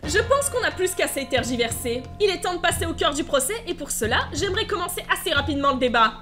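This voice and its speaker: A high-voiced woman speaks quickly over light background music. She moves a mock-trial debate along with scripted lines, sounding formal and wry. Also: very animated, sharp tone. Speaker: presiding panelist of a mock trial. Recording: music behind the voice.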